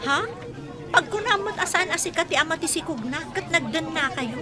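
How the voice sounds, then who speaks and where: A woman's voice: very high-pitched, lively intonation, brisk, crowd noise. A woman with an Ilocano Filipino accent playing a frank confidante who advises her troubled friend in a radio drama. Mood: questioning.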